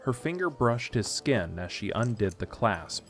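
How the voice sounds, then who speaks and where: A man's voice: on the low side, very animated, unhurried. A man reading scripted narration for an audio drama story, with music behind him.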